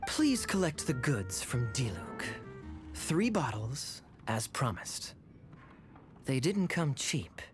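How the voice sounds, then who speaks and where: A man voicing a smooth, teasing male knight captain in a video game dub. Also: mid-range voice, very animated, slow pace, faint music behind. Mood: wry.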